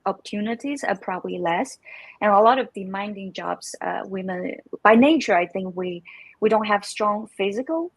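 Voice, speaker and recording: A woman whose first language is Chinese talks as a guest on an online call. Her voice is slightly husky and sits at a middle pitch, dry with no room sound. She speaks haltingly, restarting and repeating words as she goes. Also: animated, dry recording. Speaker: guest, community manager. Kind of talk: casual panel chat.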